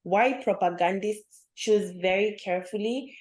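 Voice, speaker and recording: A young woman hosting a podcast, chatting casually with a friend, reflective and quick to laugh. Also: medium pitch. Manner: affirming, laughs easily, reflective. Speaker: podcast host. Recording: roomy echo.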